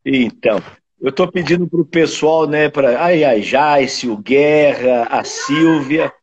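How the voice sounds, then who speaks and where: A man's voice: fairly high pitch, slow pace, a little hiss or hum. An older man from the São Paulo countryside with a caipira Brazilian accent, hosting a casual fitness live stream and chatting about viewers' towns. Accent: São Paulo countryside caipira Brazilian Portuguese. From countryside of São Paulo state, Brazil. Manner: chatty, reads out viewers' towns, many tag questions. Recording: muffled husky online call audio.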